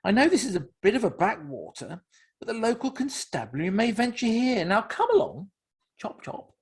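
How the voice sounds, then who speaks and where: A man voicing a mocking, smooth-talking criminal in a novel reading, with arch, patronising politeness. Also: high voice, wildly swooping pitch, normal speed, voice pushed up, full character voice.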